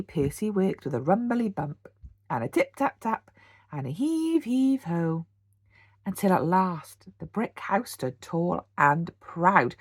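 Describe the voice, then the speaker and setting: A British woman, a teacher, reading a children's storybook aloud in an animated bedtime-story style. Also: mid-range voice, slightly rough, no room sound, studio quality. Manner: animated, with gasps and sound words.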